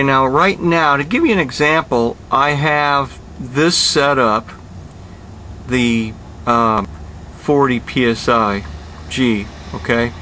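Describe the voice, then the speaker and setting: A middle-aged man working as an HVAC technician, explaining a repair step by step in a plain, unhurried way with many pauses, recorded outdoors beside an air conditioning unit. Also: medium pitch, bright, forceful tone, slight background noise. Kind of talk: hands-on repair tutorial.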